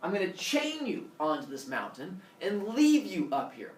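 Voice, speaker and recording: Man putting on a blustering, high-swooping king of gods. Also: wildly swooping pitch, normal speed. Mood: scheming, threatening. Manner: loud, demanding, exclaiming. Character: blustering, bossy, short-tempered male king of gods. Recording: roomy, distant mic, faint noise.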